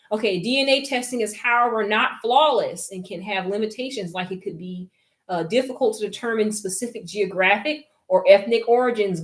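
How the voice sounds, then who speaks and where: A woman reading a book aloud in a casual talk and picking apart its wording. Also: mid-range voice. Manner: reads passages aloud then picks them apart. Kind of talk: casual critical commentary.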